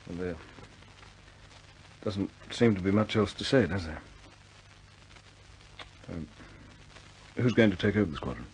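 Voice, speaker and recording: An actor playing a proud young RAF officer in a 1950s British war film, with a clipped British accent and an earnest, stammering, respectful way of speaking. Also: male voice, sits low, unhurried, long pauses, darkish tone, slight background noise, rough recording. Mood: resigned, subdued.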